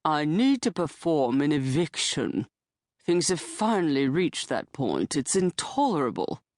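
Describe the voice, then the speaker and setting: A woman voicing a thin, gloomy old man in his late sixties who speaks in a slow, formal and dryly sour way. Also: deep voice, big pitch swings, darkish tone, no echo, clean studio sound. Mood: glum, formal. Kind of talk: audiobook character voice.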